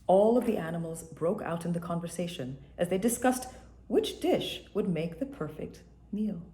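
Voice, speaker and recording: A woman reading a children's picture book aloud with an expressive storytelling manner. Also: fairly low pitch, a little hiss or hum. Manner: expressive, slow, storytelling delivery. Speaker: storybook reader. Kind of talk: children's read-aloud narration. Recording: echoey room.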